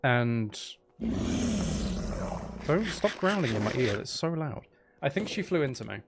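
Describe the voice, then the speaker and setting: A man streams a video game with casual live commentary. His pitch moves around a lot, giving him an animated, playfully exasperated delivery. There is clear noise behind his voice. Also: a little slow. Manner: animated, exclaiming, playfully complaining.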